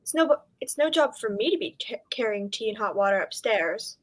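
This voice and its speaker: A woman playing a sharp-tongued village innkeeper in a radio drama, with a brisk, disapproving manner. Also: fairly high pitch, lively intonation. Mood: sour, scolding.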